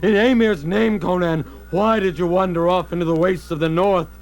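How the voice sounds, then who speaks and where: A man's voice: sits high, steady tone, moderate pace, a little mellow. A man playing a loyal Norse warrior in an audio drama, speaking urgently in declamatory archaic phrases. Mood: earnest.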